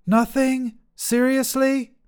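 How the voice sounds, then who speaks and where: An impatient young woman, as a male storyteller plays her, brusque and full of questions. The voice is lifted well above the reader's own pitch. It belongs to a narrated short story. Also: little pitch movement, slow pace, a little mellow, clean tone. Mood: incredulous. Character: impatient young woman, anxious narrator's hungry, nagging friend.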